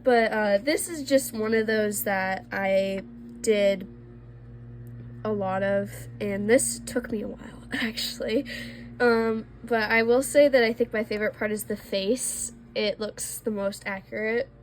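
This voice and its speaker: A woman's voice: mid-range voice, fairly even intonation, unhurried. Young woman, congested, chatty, self-deprecating, art vlog, faint background hiss. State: congested from allergies. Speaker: art vlogger. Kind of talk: casual sketchbook tour.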